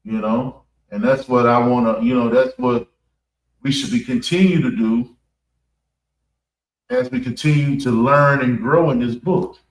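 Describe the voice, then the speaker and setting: An American man giving an off-the-cuff religious address, halting and repeating phrases for emphasis. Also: mid-range voice, animated. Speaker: preacher giving a public address at a mosque. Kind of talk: extemporaneous religious talk. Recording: echoey room, distant mic.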